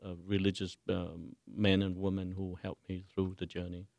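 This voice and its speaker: A man from Vietnam with a Vietnamese accent, a priest answering interview questions haltingly, searching for words. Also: sits low, level tone, creaky, a little mellow, dry recording. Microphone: studio microphone.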